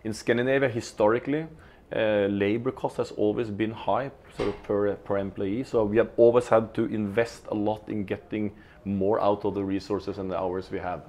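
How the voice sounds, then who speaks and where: A man's voice: fairly low pitch, fairly even intonation, moderate pace, a little mellow. A man in his fifties with a Norwegian accent, giving business interview answers and often restarting and repeating words. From Norway. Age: 50s. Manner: hesitant, repeats words mid-sentence. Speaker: retail executive guest. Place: company studio in an office.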